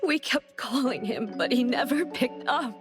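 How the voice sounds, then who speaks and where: A woman's voice: high voice, measured pace. A woman playing a distressed, pleading middle-aged mother in a video game, earnest and apologetic. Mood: worried. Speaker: voice actor in a game. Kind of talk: dramatic video game voice acting. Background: background music.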